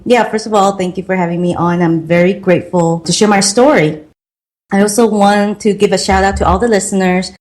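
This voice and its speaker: A woman's voice: fairly low pitch, darkish tone, low-quality recording. A middle-aged woman from Taiwan with a Taiwanese accent, telling her life story as a podcast guest in an earnest, reflective way. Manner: earnest, reflective, slow and careful. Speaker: guest, marketing consultant.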